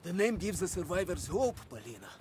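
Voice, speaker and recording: A man's voice: high voice, very animated, brisk, a little hiss or hum. A man playing a loyal, urgent Soviet resistance fighter in a video game cutscene, with a Russian accent. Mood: wary, teasing. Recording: loud music over dialogue.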